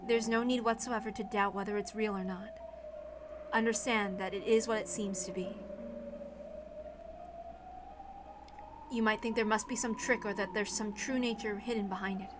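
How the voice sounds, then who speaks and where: A woman voicing a resigned, dispassionate woman in a story reading, speaking in a measured, calm way. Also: mid-range voice, background noise, lightly acted. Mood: grave advice.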